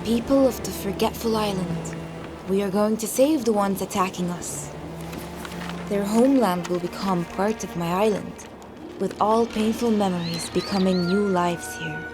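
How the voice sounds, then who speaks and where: A woman playing a solemn, grand and possessive island ruler in a dubbed animated film. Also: mid-range voice, moderate pace, natural voice. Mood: grand proclaiming. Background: loud music.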